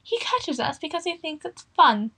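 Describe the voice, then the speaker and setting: A woman voicing a wise, weary old male chief mouse who speaks in a measured, grave way. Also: sits high, big pitch swings, bright, forceful tone, pitched above the performer's own voice, heavily acted.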